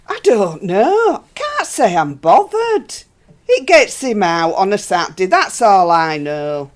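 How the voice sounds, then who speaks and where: Actress as Yorkshire housewife, high swooping voice, slow, weary, dry grumbling, radio play. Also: female voice, sing-song. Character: weary, put-upon married northern English housewife, unimpressed.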